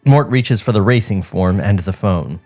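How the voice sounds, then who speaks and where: A man's voice: lowish voice, expressive, moderate pace, darkish tone, faint music behind. A man narrating stage directions from a script at a podcast table read. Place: podcast studio.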